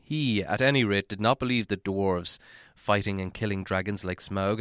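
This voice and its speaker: A man reading a fantasy story aloud in expressive scripted narration. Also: fairly low pitch, dry recording, studio quality. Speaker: audiobook reader.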